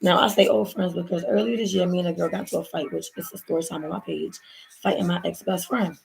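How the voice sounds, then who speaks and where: Hoarse gravelly woman chatting to camera. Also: lowish voice, steady tone, fast talker, darkish tone, poor audio. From Baltimore, USA. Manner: chatty, rambling, addresses viewers as y'all. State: hoarse, lost voice. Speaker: vlogger. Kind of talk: casual story time while doing nails. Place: at home. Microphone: laptop built-in mic.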